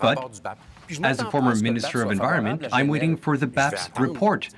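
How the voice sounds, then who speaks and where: A man serves as live interpreter for a political debate answer. His pitch is average, and other voices are clearly heard behind him. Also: a little fast, crowd noise. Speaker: live interpreter voicing a party leader.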